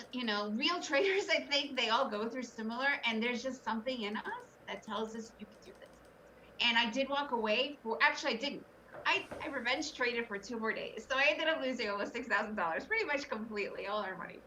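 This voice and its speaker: A woman's voice: a little high, bright, forceful tone, far from the mic, slight background noise, rough recording. A middle-aged woman, born in Russia and raised in the US, candidly telling her story as a guest on an interview podcast. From Soviet Union, raised in the US. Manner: earnest, candid, emphatic storytelling. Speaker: guest trader.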